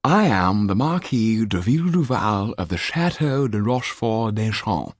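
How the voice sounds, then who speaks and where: A man's voice: on the low side, sing-song, measured pace, no echo, studio quality, voice pushed up. A man voicing an imperious, deluded French marquis in an audiobook, haughty in manner.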